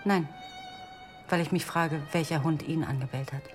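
Thoughtful young student, female actor: lowish voice, bookish storytelling delivery, radio drama, soft music underneath. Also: moderate pace, lightly acted. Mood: pensive.

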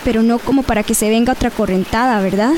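Impatient flood survivor, played by a woman: worried, urgent, slightly high register, soft rainy backdrop, radio drama. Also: steady tone, quick pace, noisy background, close to an everyday voice. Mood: anxious, impatient.

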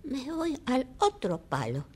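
A woman playing an impatient passer-by in an improvised radio theatre exercise, recorded with some rumble. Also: wildly swooping pitch, slow, breathy edge, heavily acted. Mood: questioning.

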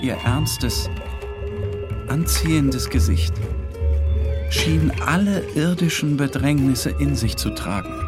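An actor playing a tormented, obsessive man, narrating his own story in a deliberate, brooding and intense literary style. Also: on the high side. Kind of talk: dramatic first-person literary narration. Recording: studio narration over clear music.

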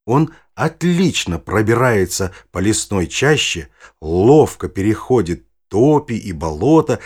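An actor playing an older, knowledgeable naturalist grandfather explaining things patiently in an audio play, with a husky voice. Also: on the low side, full character voice.

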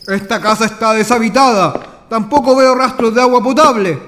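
A man's voice: extremely high pitch, normal speed, sharp tone, slight background noise. A man playing an earnest, observant travelling companion in a radio drama.

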